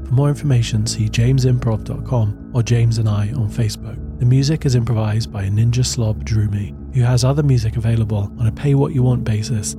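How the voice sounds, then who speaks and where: A man's voice: mid-range voice, steady tone, brisk, hardly any pauses, music in the background. A man reading out a scripted podcast credits announcement as one of its performers.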